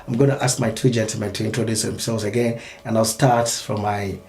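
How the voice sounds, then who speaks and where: A man's voice: fairly low pitch, fairly even intonation, a little fast, a little mellow, echoey room. A man hosting a phone-in talk show, speaking in a friendly way with frequent ums.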